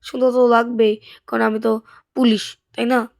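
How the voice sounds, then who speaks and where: High-pitched Bangladeshi woman commentating gameplay. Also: level tone, moderate pace, creaky. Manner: chatty, thinking aloud, asking questions. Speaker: gaming video host. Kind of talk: casual gameplay commentary with roleplay.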